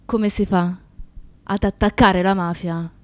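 A woman's voice: mid-range voice, steady tone, slow pace, darkish tone, dry recording, natural voice. Actress as intense young activist woman, dry sound. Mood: earnest narration. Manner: intense, declamatory. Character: young leftist feminist woman, proud, defiant, impassioned. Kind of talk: dramatic radio theatre monologue and narration.

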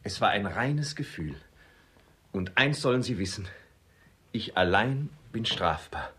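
A man's voice: on the low side, animated, measured pace, bright, forceful tone. An actor playing an earnest young lover in a radio play, formal and courtly as he pleads. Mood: ardent. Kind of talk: theatrical German radio play acting.

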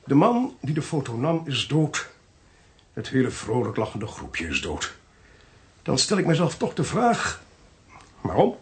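A man acting in a radio drama, playing a sardonic, authoritative investigator with a dry, commanding, probing manner and a creaky voice. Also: medium pitch, huge pitch swings, unhurried, pauses often, heavily acted. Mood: brisk, brooding. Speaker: actor. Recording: faint hiss on radio play recording.

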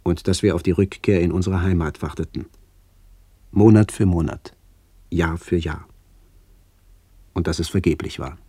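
A man reading a scripted literary radio narration in a measured, solemn manner with incantatory phrasing. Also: low-pitched, dark tone, breathy edge, dry recording.

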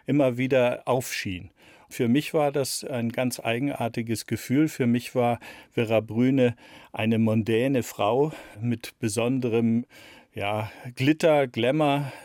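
A man in his sixties answers interview questions at a middle pitch. He explains things reflectively and repeats words for emphasis. The recording is close and very dry. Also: a little mellow, no echo. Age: 60s. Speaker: radio play author being interviewed.

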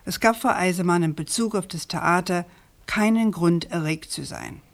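An actress playing a persistent, sceptical journalist in a radio crime drama, speaking in a probing, deliberate and emphatic way. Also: female voice, lowish voice, natural voice. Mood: measured, firm. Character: persistent, sceptical female journalist questioning a prosecutor.

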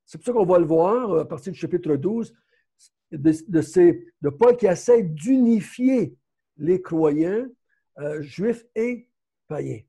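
A man's voice: high-pitched, expressive, takes it slowly, gravelly, mellow, slightly rough. A man with a Québécois accent teaching a Bible study in a conversational way, often stopping to correct himself. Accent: Québécois French. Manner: conversational, frequent self-corrections.

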